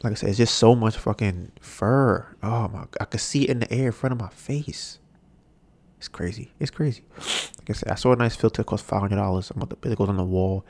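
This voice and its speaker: A young man hosting a podcast, ranting casually with a stuffy, nasal voice and lots of swearing. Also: a little fast. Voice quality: congested, nasal. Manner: animated, mocks others in high put-on voices, swears. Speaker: podcast host. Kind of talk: casual solo rant.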